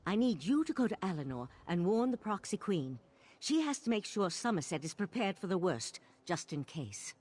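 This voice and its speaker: A woman voicing an earnest, troubled mage of a magical order in a fantasy video game, serious and deliberate. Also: medium pitch, husky. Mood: resolute, urgent.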